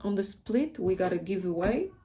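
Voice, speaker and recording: A woman's voice: mid-range voice, lively intonation, moderate pace, dark tone, slight background noise. A woman with a Polish accent, a native Polish speaker, giving a casual tarot reading in a hesitant way and often searching for words. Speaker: tarot reader.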